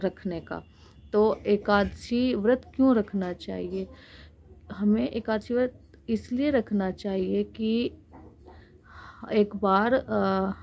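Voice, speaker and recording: A woman's voice: medium pitch, vocal fry, darkish tone. Indian woman giving devotional teaching, noisy recording. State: sore throat with frequent throat clearing. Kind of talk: religious discourse, explanatory teaching.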